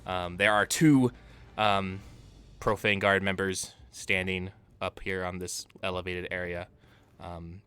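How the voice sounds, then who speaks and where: A man narrates a tabletop game as its game master, describing the scene loosely with plenty of fillers. His voice is on the low side and has a bright, forceful edge. Faint music plays behind him. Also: little pitch movement, slow, clear, resonant tone.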